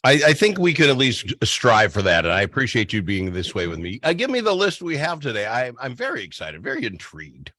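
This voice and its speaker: A male radio host with a very lively, swinging delivery and a medium-pitched voice. He banters and teases with mock-pleading emphasis, recorded close and dry. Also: fairly quick, hardly any pauses, no room sound. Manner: animated, teasing, mock-pleading. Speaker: radio talk show host.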